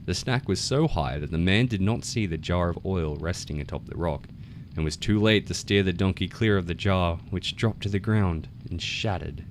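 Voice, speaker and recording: Male folktale narrator, very low voice, theatrical and measured; noticeable background noise. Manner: theatrical, measured bardic delivery. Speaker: storyteller.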